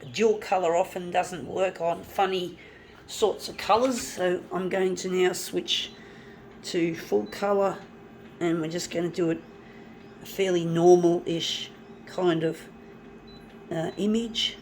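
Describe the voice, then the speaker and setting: A woman, hoarse from a flu with a blocked nose, chatting and swearing freely as she works. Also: fairly low pitch, steady tone, takes it slowly, darkish tone, a little hiss or hum, poor audio. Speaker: artist. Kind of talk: casual talk while working.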